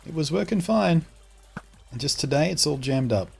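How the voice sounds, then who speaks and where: A man's voice: a little high, expressive, normal speed, vocal fry, a little mellow, faint music behind. A man with an Australian accent streaming a game from home, chatting casually and answering viewers' comments as he plays. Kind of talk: casual live-stream chat while playing.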